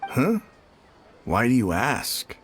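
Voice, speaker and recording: Wary, observant video game bystander: animated middle-range voice over soft music. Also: male voice, medium pitch, takes it slowly. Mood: wary, informative.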